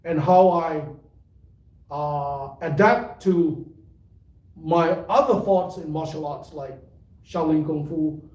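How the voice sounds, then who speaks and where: A tai chi teacher with a mixed Malaysian and British accent, giving an unhurried, rambling livestream talk full of fillers. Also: male voice, on the high side, a little mellow. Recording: echoey room, voice from far off.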